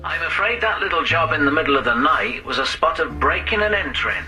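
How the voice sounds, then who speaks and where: A sober investigator in a radio drama, deliberate and a little hesitant. His voice is fairly high for a man, with clear music behind it. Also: big pitch swings, poor audio. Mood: grave, speculative. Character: sober, reasoning male investigator breaking bad news. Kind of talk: British radio drama acting.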